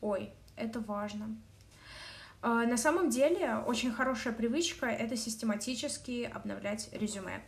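Lively young woman co-hosting a podcast. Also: fairly high pitch, normal speed. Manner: lively, animated advice with fillers and laughs. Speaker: podcast co-host. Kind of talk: casual conversational podcast.

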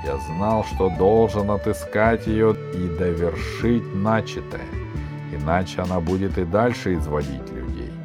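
A man's voice: low voice, measured pace. A man voicing a grim, weary wronged husband in a storybook tale, confessing solemnly. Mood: weary, resigned. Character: grim, weary divorced husband of a she-djinn. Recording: music behind the reading.

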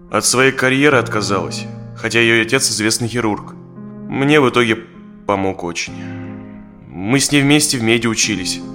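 Low male voice, conflicted forty-year-old husband, hesitant, confessional, naturalistic audio play, faint guitar music behind. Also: bright, forceful tone. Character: conflicted married dentist of forty, confiding in a therapist. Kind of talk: naturalistic audio drama dialogue. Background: plucked guitar music.

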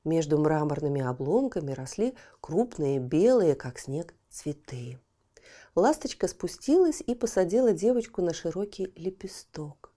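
A woman narrating a children's fairy tale audiobook, telling it expressively and dropping to a hushed voice at times. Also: low-pitched, very animated, unhurried.

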